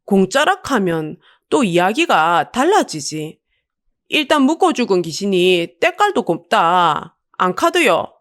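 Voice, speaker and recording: A woman playing a defiant, down-and-out middle-aged woman with a sharp tongue and a Busan accent. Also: mid-range voice, big pitch swings, measured pace. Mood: cheeky relief. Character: feisty, vengeful down-and-out betrayed middle-aged woman.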